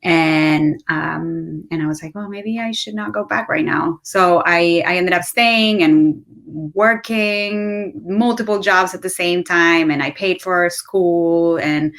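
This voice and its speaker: A woman in her late thirties speaks in a low voice with a clear Argentine Spanish accent. She is leading a casual panel discussion, talking in a conversational way. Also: takes it slowly, few pauses, fairly bright tone. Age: late 30s. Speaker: panel facilitator, marketing executive.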